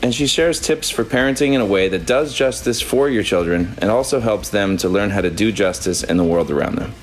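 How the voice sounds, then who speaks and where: A man hosting a podcast, reading a scripted introduction and then asking questions in a relaxed, friendly way. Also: on the low side, a little fast, rough recording. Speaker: podcast host.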